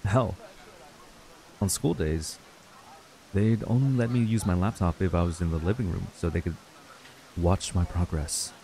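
A man acts out a wistful young man in an intimate ASMR roleplay. His voice sits low and has a dark, relaxed tone. He talks in a laid-back, casual and slightly hesitant way, as to an old childhood friend. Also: a little slow, creaky, long pauses, poor audio. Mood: earnest.

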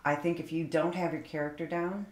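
A woman actor talking loudly and candidly in a casual roundtable, poking fun at herself. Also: deep voice, fairly even intonation, brisk. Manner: loud, candid, self-deprecating. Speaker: actor on a panel. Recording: roomy, echoey room.

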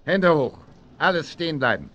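An actor playing a brisk, authoritative, wry policeman in a German children's radio play. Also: on the high side, big pitch swings, a bit bright. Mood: commanding. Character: brisk, commanding policeman arresting crooks. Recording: dull 1970s audio drama recording.

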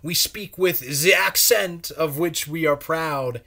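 A man's voice: on the high side, slow, gravelly, sharp tone, full character voice. A man voicing cheery, boastful little peas with a French accent, in a theatrical storybook read-aloud. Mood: proud, cheery.

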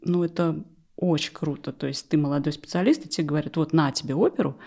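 Middle aged russian lady on a podcast, kinda wry, croaky fry in her voice, keeps restarting as she tells her story. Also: deep voice, fast talker, a little mellow. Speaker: interview guest, opera rehearsal pianist. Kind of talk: conversational podcast interview, personal storytelling. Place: small furnished podcast studio. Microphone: close studio microphone on a stand.

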